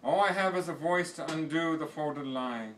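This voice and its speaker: A man reciting poetry in a measured, declamatory way with deliberate phrasing. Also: a little high, a bit bright. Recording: distant mic with faint background noise.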